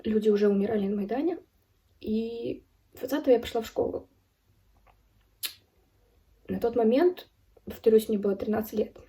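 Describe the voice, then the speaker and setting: A woman in her early twenties from Ukraine giving a serious personal account as a video blogger, speaking in halting, deliberate phrases with pauses. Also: a little high, warm tone, recorded from a distance. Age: early 20s. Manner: serious, solemn. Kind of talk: personal account spoken to camera.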